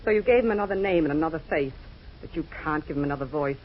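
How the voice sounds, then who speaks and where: A menacing vengeful ex-lover. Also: female voice, lively intonation, fast. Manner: low and menacing. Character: vengeful former lover, woman. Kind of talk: 1940s radio drama acting. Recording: dull old radio broadcast with faint hiss.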